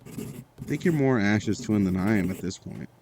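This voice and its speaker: Low, guarded, wry teenager. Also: female voice, lively intonation, normal speed, noisy background. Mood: fond. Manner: casual, understated, teasing. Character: guarded, wry, caring teenager close to sick twin. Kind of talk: audio drama dialogue.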